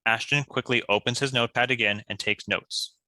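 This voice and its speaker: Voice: mid-range voice, steady tone, fairly quick, bright, forceful tone. A narrator reading stage directions from a script in a scripted narration style.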